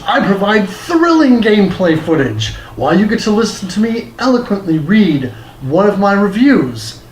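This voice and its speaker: Higher-voiced man reading a scripted game review. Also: a little hiss or hum, poor audio. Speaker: host of a retro game review series. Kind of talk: scripted review read aloud as narration.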